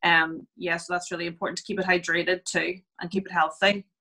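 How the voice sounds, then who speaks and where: A woman's voice: fairly low pitch, flat delivery, fairly quick, bright, forceful tone. A woman in her thirties, a skin clinic therapist, talking on a video call in a casual, chatty way with many fillers as she explains skincare step by step.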